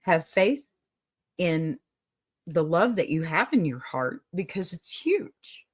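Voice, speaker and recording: A woman's voice: fairly low pitch, very animated, slow pace, breathy edge. Folksy Texas woman hosting an advice show. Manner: folksy and motherly, calls listeners honey and y'all. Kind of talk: warm encouraging livestream advice talk.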